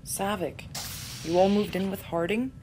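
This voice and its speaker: A woman's voice: steady tone, a little slow, lightly acted. A woman playing a blunt, sarcastic starship doctor in a science fiction audio drama, talking in a dry, casual way. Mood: teasing. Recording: background noise with low rumble.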